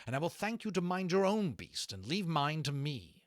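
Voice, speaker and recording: A man's voice: a little high, big pitch swings, normal speed, no echo, clean studio sound, voice pushed up. A man acting a cold, haughty military captain in an audiobook, curt, imperious and scolding. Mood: cold disdain.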